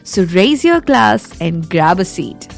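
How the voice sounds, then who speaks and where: A woman's voice: fairly low pitch, big pitch swings, slow, creaky, soft background music. A woman hosting a podcast with an effusive, bantering manner, moving between scripted introduction and chatty interviewing. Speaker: podcast host. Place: closet.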